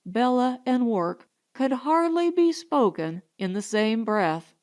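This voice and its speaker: Woman, audiobook narrator, scripted first-person storytelling, fairly high voice, very unhurried. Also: very animated, darkish tone.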